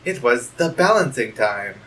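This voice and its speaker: A kind motherly goat woman with slow, proper speech and a weakness for puns, played by a man. Her tone swings up brightly on the jokes. The voice sits a little back from the mic, with faint hiss. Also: mid-range voice, big pitch swings, far from the mic. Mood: sweet. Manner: slow, gentle and proper, fond of corny jokes.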